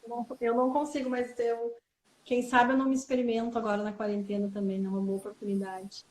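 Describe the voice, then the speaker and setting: Woman, high voice, echoey room. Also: little pitch movement, fast talker. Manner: warm reflective, many fillers like "né" and "assim". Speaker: guest coach. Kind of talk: casual live chat.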